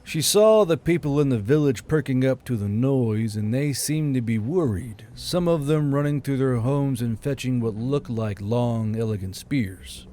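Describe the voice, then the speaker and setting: A man's voice: mid-range voice, expressive, a little slow, hardly any pauses, warm tone. A man narrating an audiobook story in a dramatic, scripted style.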